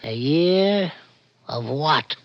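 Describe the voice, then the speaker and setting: A man playing a weary, gentle, very old prison guard, soft-spoken and wistful, in 1960s radio drama style. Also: a little high, expressive, extremely slow, exaggerated voice. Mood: resigned. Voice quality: creaky, husky old voice. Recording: dull old radio recording.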